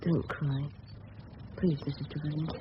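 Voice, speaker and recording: An actor playing a polite, level-headed English schoolboy in a radio play, earnest and well-spoken. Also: male voice, on the high side, little pitch movement, unhurried, natural voice. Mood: calm, caring. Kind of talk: 1970s radio play acting. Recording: muffled, hissy old radio broadcast.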